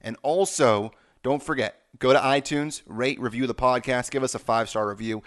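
A man's voice: mid-range voice, fairly even intonation, moderate pace. Effusive male podcast host. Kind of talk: sports podcast interview and sign-off.

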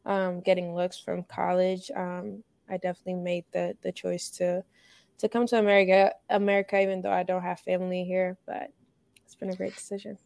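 Gravelly, smooth-voiced young woman. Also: fairly low pitch, monotone, a little fast, no room sound. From Finland. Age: young adult. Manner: hesitant, many ums, soft laughs. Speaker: college basketball player guest. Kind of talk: casual interview answers.

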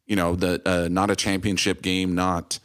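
A man's voice: sits low, flat delivery, moderate pace, a bit bright. A man hosting a casual interview, offering analytical comparisons in a slightly hesitant way. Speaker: interview host. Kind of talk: casual chat.

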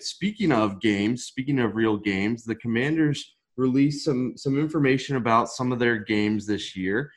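A man hosting a casual sports talk show, upbeat and steering the conversation with questions. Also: mid-range voice. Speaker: podcast host.

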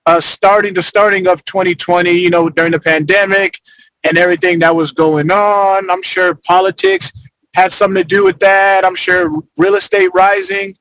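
A man's voice: sits high, little pitch movement, moderate pace, fairly bright tone, no room sound, poor audio. A man from Arizona, a former football player, talking casually as a podcast guest, rambling with lots of fillers and restarts. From Arizona, United States.

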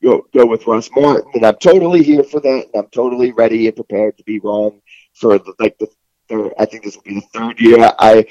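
A man giving casual sports analysis as a guest, in a halting way with repeated words, on a muffled recording. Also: mid-range voice, steady tone, mellow, no room sound. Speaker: guest football reporter. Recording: muffled, lo-fi call sound.

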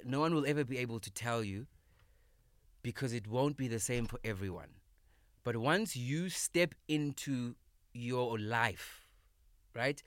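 A man in his thirties, a comedian guest in a podcast studio, talking casually, emphatic and animated, swearing freely. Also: mid-range voice, measured pace, long pauses, dry recording. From South Africa. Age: 30s. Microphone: studio microphone.

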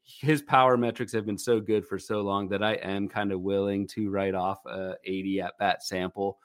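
A man in his thirties talks through a podcast segment in a relaxed, thinking-out-loud way, often restarting his sentences. His voice sits on the low side. The recording is dry and close. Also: moderate pace, no echo. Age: 30s. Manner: thinking aloud, many fillers and restarts. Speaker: podcast co-host. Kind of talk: casual fantasy baseball chat.

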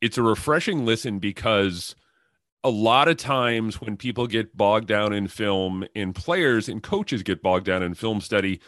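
A man hosting a sports podcast, interviewing conversationally and somewhat haltingly, often repeating words as he goes. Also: fairly low pitch, fairly bright tone, no room sound, studio quality.

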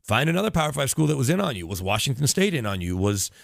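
A man's voice: medium pitch, sing-song, quick pace, gravelly, dry recording, clean studio sound. A man talking sports casually as a radio broadcaster guest, explaining in long detail with tangents and self-corrections. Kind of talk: casual sports talk interview.

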